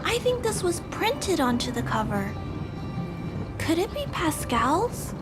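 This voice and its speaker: A woman voicing a gentle, curious little girl in a video game, thoughtful and earnest. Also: very high-pitched, sing-song, slow, exaggerated voice. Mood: curious, puzzled. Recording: loud music behind the voice.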